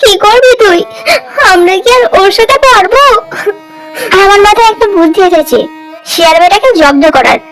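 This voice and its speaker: Lady doing a little cartoon bird, super high childlike voice, earnest, faint music behind. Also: lively intonation, normal speed, rough recording. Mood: tearful. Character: small anxious and caring cartoon songbirds, young and childlike.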